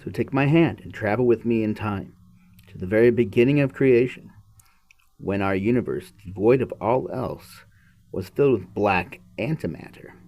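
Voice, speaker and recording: A man's voice: lowish voice, normal speed, muffled recording. A man hosting a podcast, reading a book aloud and breaking off into casual, musing commentary. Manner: musing, informal asides to the listener.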